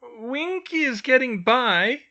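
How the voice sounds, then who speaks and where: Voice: sits high, slow, pushed and bright, muffled recording, voice pushed up, full character voice. A reader voicing Winky, a tearful tipsy female house-elf who sniffles and stammers. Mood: weepy.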